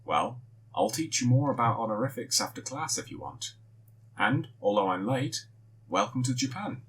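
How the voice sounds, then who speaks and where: A man voicing a friendly, curious teenage schoolgirl who asks gentle, matter-of-fact questions. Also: medium pitch, big pitch swings, moderate pace, a little hiss or hum.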